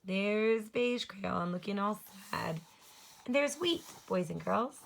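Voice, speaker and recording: A woman's voice: mid-range voice, expressive, takes it slowly, creaky, slight background noise. A woman teacher doing a children's read-aloud, talking expressively to young listeners and prompting them.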